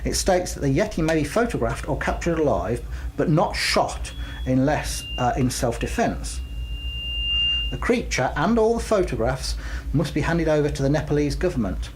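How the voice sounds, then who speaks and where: A man's voice: on the high side, sing-song, moderate pace, noisy background. A man co-hosting a radio show and reading news items in a studio. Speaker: radio co-host.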